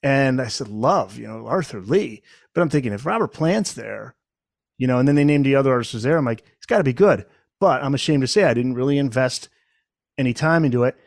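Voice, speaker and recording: A middle-aged man hosts a podcast in a casual, conversational style. His voice sits at an average pitch, and the sound is dry with little room in it. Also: expressive, a little fast, gravelly, no room sound. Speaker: podcast host, former radio presenter.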